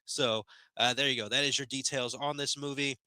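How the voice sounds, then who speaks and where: A man's voice: medium pitch, steady tone, moderate pace, sharp tone, a little hiss or hum. A man hosting a movie review channel, talking in a laid-back, chatty way with a slightly nasal voice as he gets over a sickness. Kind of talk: casual spoken film review. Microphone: headphones on, desk podcast mic.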